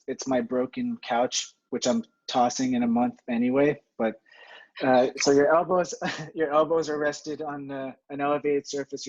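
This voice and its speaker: Chatty man with a dull lo-fi sound. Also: mid-range voice. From New Jersey, USA. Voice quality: steady, fairly monotone. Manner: chatty, self-deprecating, rambling with fillers. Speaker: physical therapy webinar presenter. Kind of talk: live webinar teaching. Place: at home.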